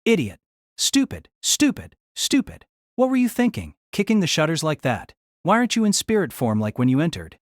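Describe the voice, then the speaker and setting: Man playing a young male mage, audio drama, panicky, bluffing, stammering, animated pitch. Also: moderate pace, dry recording, clean studio sound. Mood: panicked, scolding.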